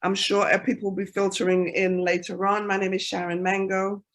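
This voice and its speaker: A woman radio host opening the show with blessings, warm greetings and shout-outs to listeners. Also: medium pitch, little pitch movement, a little fast. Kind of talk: lively show introduction.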